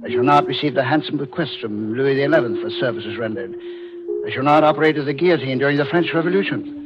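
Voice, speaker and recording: An actor playing a grave, elderly, grandfatherly doctor in a 1940s radio drama, speaking in a measured, deliberate, ominous way. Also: medium pitch, music in the background, close to an everyday voice. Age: older. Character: grave elderly doctor, grandfatherly, revealing dark family secrets. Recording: muffled, noisy vintage radio broadcast.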